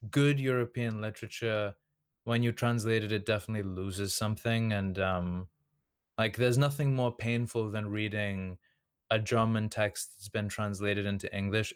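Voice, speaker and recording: A young Australian man with a mild accent talks through an interview in a low, smooth voice. He grew up speaking Serbian at home and chats casually, leaning on "like" as filler. The sound is dry and close. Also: dry recording. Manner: chatty, lots of "like" fillers. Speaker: podcast guest. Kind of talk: casual conversation.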